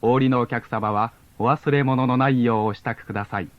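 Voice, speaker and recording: A man reading scripted onboard train announcements formally and politely in measured phrases, on a lo-fi cassette recording. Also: medium pitch, steady tone, dry recording. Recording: dull lo-fi cassette tape.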